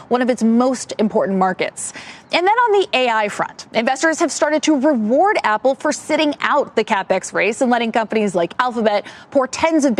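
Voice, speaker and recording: Higher-pitched American woman reporting live. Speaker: business news reporter. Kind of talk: live broadcast news report. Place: on location at a company headquarters.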